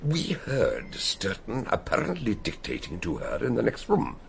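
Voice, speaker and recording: A man's voice: lowish voice, wildly swooping pitch, normal speed, gravelly, a little hiss or hum, heavily acted. An actor playing a shrewd, genial older male detective in a radio drama, talking in a jovial way with hesitant fillers.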